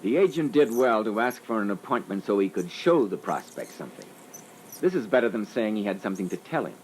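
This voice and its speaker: A man's voice: lowish voice, very animated, a little fast, darkish tone. A man giving scripted instructional critique as a panelist on a sales training record. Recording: faintly noisy old reel-to-reel training record.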